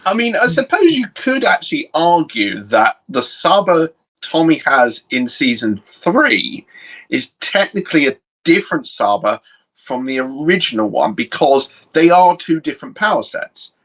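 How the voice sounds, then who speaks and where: A young man co-hosting a casual chat, explaining his picks in a measured, thoughtful way. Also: on the high side, big pitch swings, a bit bright, low-quality recording. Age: young adult. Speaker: co-host.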